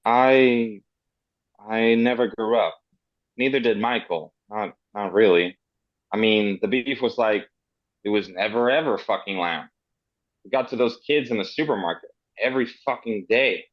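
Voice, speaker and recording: A man acting a blunt, regretful, foul-mouthed character in a dramatic script read, speaking haltingly. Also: fairly low pitch, no room sound, muffled recording, close to an everyday voice. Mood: remorseful. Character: gruff, regretful, foul-mouthed man, guilt-ridden cousin.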